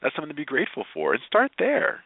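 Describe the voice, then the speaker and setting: A man giving motivational advice as a radio interview guest, speaking in an animated, emphatic way with repeated phrases. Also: fairly high pitch, quick pace, vocal fry, breathy edge, dry recording. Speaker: guest, positivity speaker and blogger. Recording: thin phone-like line.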